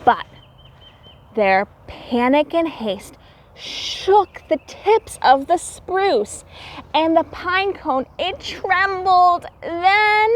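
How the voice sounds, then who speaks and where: A woman reading a story aloud to young children as a teacher, expressive and explaining things simply. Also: very high voice, very slow.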